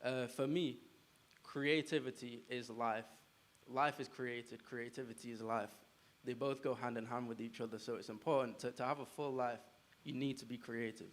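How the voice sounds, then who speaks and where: A young man with a mid-pitched voice talks frankly on a panel at a live event. He pauses often with "um" but keeps an open, honest tone. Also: fairly even intonation. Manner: frank, hesitant, many "um"s. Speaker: panel speaker. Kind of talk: casual panel talk.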